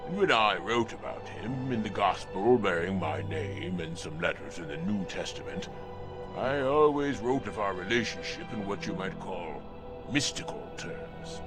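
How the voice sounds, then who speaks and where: An actor playing a gentle, devout apostle saint in a children's audio drama, telling his story with reverent, measured delivery. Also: low-pitched, lively intonation, over music.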